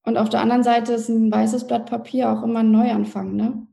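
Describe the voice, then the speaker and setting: A woman in her thirties, a guest in an interview, talks on in a chatty, rambling way. Her voice is gravelly and mellow, sitting a little high. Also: little pitch movement, brisk. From Berlin, Germany. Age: 30s. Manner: chatty, explains at length with fillers. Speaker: podcast guest, co-founder. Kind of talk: casual interview talk.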